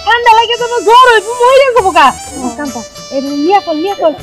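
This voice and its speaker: A woman's voice: very high-pitched, expressive, quick pace, over music. A woman playing a village auntie in an amateur comedy drama, practical and colloquial, with a rural Bangladeshi accent. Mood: practical, directing. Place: outdoors on a riverbank and village yard.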